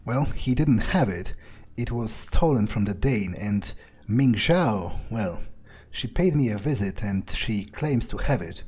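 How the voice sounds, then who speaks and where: Husky, foul-mouthed vampire rogue, a man roleplaying. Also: on the low side, lively intonation, a little mellow. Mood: casual, hesitant. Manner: halting, improvised, swearing freely. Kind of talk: in-character game roleplay.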